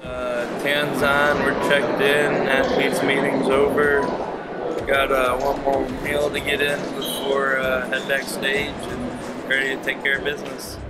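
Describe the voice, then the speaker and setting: A man competing in bodybuilding, speaking in a calm, focused way in a documentary interview. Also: mid-range voice, measured pace. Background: music.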